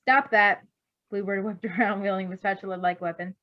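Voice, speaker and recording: A woman reads fiction aloud as an amateur storyteller. Her delivery is expressive and her pitch sits in the middle for a woman. The recording is dull and low in fidelity. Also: fast. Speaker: fan fiction reader.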